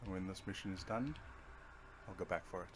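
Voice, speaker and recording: Low-voiced man casually commenting on gameplay. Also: brisk. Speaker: let's play host. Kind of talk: casual video game playthrough commentary.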